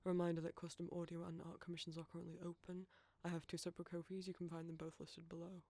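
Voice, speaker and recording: British creator for scripted thanks and credits: level intonation, noticeable vocal fry, no room sound. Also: medium pitch, monotone, fast, a little mellow, no room sound. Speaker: audio roleplay creator.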